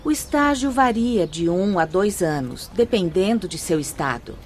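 A woman's voice: lowish voice, lively intonation, moderate pace, lightly acted. An actress playing a young, gracious, earnest female spirit instructor in a radio drama, formal and didactic. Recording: faint background noise.

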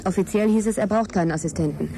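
An actress playing a guarded, sceptical middle-aged scientist in a naturalistic radio drama, direct and questioning in conversation. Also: female voice, mid-range voice, fast, dark tone, lightly acted. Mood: reflective, matter-of-fact. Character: guarded divorced female scientist, sceptical, frank, wary. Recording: crowd chatter behind, café scene. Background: café crowd chatter.

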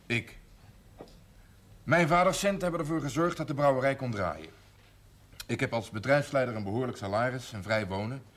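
An actor playing a firm, self-assured eldest brother in a television period drama, decisive and matter-of-fact. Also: male voice, medium pitch, moderate pace, pauses often, a bit bright, close to an everyday voice. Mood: resolute.

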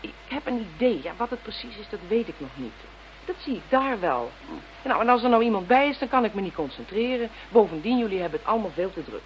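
Actress as confident lady inspector in a radio drama, mid pitch, brisk, bit muffled. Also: big pitch swings, close to an everyday voice. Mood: self-assured. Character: self-assured female police inspector, stubborn, independent. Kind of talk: radio play acting. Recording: muffled radio play, faint hiss.

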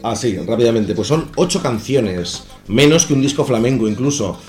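A man, a musician guest talking about his record in a casual radio interview, lively and emphatic. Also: mid-range voice, moderate pace. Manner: lively, emphatic, laughs easily. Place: radio studio.

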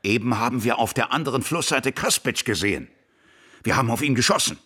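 An actor playing a gruff, kindly old army officer who tells his tales colloquially and vividly in a radio play. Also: mid-range voice, lively intonation, a little fast, bright, forceful tone. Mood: worried. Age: older. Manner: colloquial, vivid, dramatic storytelling.

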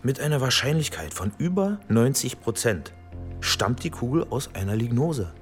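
An actor playing a chatty, wry police ballistics expert in a radio drama, folksy in manner. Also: male voice, medium pitch, very animated, moderate pace, soft background music, natural voice. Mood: pleased, informative.

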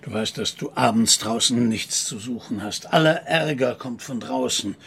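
An actor playing a stern, suspicious old grandfather in a German radio drama, with a creaky voice. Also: mid-range voice, moderate pace, a bit bright, slight background noise. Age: older.

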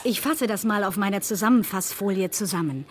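Woman playing slick female political analyst, mid-pitched, pointed, ironic, emphatic delivery, satirical radio drama. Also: fairly quick, slight background noise, close to an everyday voice. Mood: dry irony. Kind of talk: satirical radio play dialogue.

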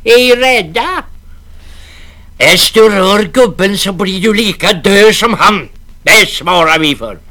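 Man doing drunk rough workmen yelling, raised pitch, loud and threatening. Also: lively intonation, exaggerated voice. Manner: shouting, threatening. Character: drunk rowdy male railway laborers.

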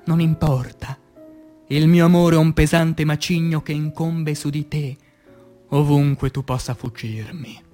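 Man, high voice, theatrical poetry recital, declamatory, impassioned, music underneath. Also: steady tone, a little slow. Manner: declamatory, impassioned, with big swings from hushed to loud.

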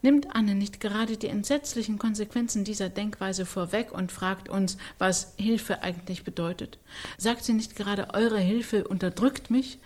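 A woman's voice: mid-range voice, moderate pace, a little mellow, natural voice. A woman playing a righteous intellectual art critic in a radio play, articulate, insistent and rhetorical. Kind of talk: radio play panel discussion.

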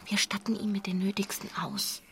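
An actress playing an earnest, agitated, idealistic wife in a naturalistic radio drama, stammering and urgent, often dropping into hushed tones. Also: female voice, medium pitch, fairly even intonation, normal speed, vocal fry, slight background noise.

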